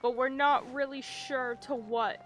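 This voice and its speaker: A woman livestreaming a game with casual, expressive commentary full of swearing and exaggerated dismay. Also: high-pitched, unhurried. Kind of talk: casual gaming commentary with read-aloud game text. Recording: game music faintly behind. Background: video game music.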